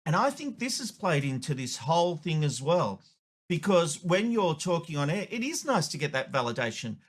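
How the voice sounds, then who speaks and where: A man's voice: a little high, sing-song, moderate pace, slightly rough. A man hosting a show, delivering opinionated, emphatic editorial commentary. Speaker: show host, media commentator.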